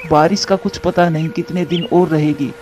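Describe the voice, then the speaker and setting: Dark, high-pitched devoted father pigeon played by a man. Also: fairly even intonation, normal speed, close to an everyday voice. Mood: determined, emotional. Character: devoted, brave father pigeon, protective and determined. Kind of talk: Hindi moral cartoon dubbing. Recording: dull lo-fi sound with music.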